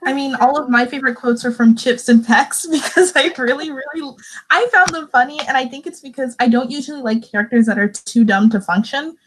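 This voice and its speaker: A woman's voice: high voice, fairly quick, fairly bright tone, a little hiss or hum, rough recording. A woman chatting casually as a guest in a book discussion. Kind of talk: casual chat about books.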